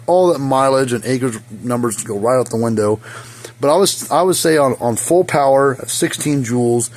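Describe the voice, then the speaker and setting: A hoarse man giving a casual, rambling how-to repair demonstration in his hot workshop, with lots of fillers. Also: medium pitch. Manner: rambling, folksy, many fillers. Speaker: fence energizer repair technician. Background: faint hum of a small fan.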